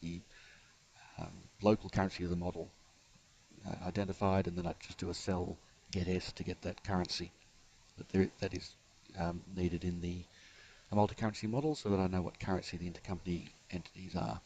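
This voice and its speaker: Male software presenter, low voice, halting step-by-step walkthrough, unscripted screencast delivery. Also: level tone, lo-fi sound, slight background noise. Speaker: software developer giving a demonstration. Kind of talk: unscripted screencast tutorial narration.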